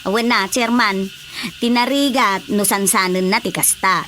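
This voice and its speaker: Actress as sharp, forceful village councilwoman. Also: mid-range voice, unhurried, a little hiss or hum, close to an everyday voice. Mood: complaining. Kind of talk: comedy radio drama acting.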